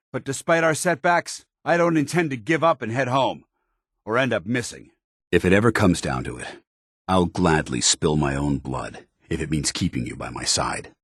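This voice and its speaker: Game companion role: dutiful armored military officer, stern and loyal, low clean voice, formal clipped delivery, dry recording. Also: male voice, slightly rough, dry recording. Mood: grave, briefing, then earnest devotion. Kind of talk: video game companion dialogue.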